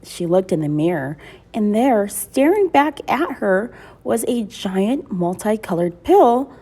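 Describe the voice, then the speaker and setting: Animated woman reading a children's story. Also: medium pitch, slow, breathy edge. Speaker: school librarian reading aloud. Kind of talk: expressive children's storybook narration.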